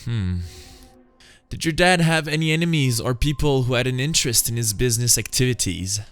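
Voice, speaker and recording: A man voicing a calm, inquisitive police commissioner in a comic-book drama, brisk and authoritative. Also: medium pitch, clear, resonant tone, soft background music, pitched below the performer's own voice, close to an everyday voice. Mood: businesslike.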